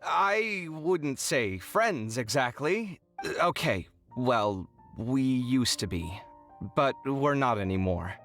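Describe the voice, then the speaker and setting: A man's voice: medium pitch, lively intonation, slow, a bit bright. A man playing a touchy, dramatic young man in a video game, emotive and easily made indignant. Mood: sheepish. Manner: indignant, emotive, hesitant when embarrassed. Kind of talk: video game character acting. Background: music.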